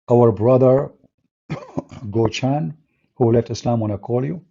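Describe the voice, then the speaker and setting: Husky-voiced man hosting a livestream. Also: medium pitch, takes it slowly, warm tone. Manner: mocking, sarcastic, non-native English phrasing. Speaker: livestream host. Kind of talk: livestream talk with callers. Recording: dull lo-fi stream audio.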